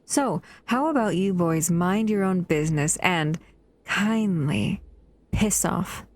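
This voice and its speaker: A woman voicing a possessive, doting female monster in an intimate audio roleplay, soft, cooing and teasing. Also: lowish voice, lively intonation, takes it slowly. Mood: defiant, mocking.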